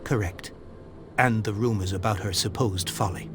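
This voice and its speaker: A man playing a proud, grieving elderly gentleman who speaks formally and earnestly in a video game. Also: fairly low pitch, steady tone, moderate pace, background noise, lightly acted. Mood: solemn, insistent. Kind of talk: dramatic video game dialogue.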